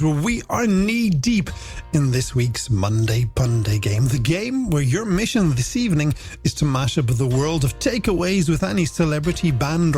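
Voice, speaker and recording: A man's voice: a little high, darkish tone. A middle-aged man, presenting a late-night music radio show in a playful, theatrical, polished way on a studio microphone. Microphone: close studio broadcast microphone. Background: music bed.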